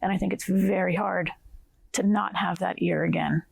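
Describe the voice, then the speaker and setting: A woman's voice: lowish voice, fairly even intonation, moderate pace, creaky, slightly rough, no echo. A woman from New Jersey, an orchestral flutist, talking casually and thoughtfully about classical music. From New Jersey, United States. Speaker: orchestra principal flutist, guest.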